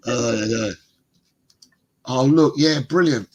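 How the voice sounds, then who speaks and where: A man's voice: fairly high pitch, extremely slow, muffled recording. A middle-aged man, congested, co-hosting a football fan livestream and reading out viewer comments in a chatty, rambling way. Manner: chatty, rambling, laughs often. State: congested, bunged up.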